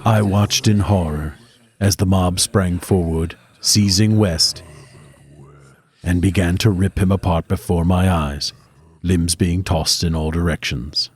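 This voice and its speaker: An actor playing a grim, haunted survivor, narrating gothic horror audio drama in a grave, dramatic way. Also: male voice, low voice, steady tone, slow, slightly rough, exaggerated voice. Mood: grim dread. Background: faint music.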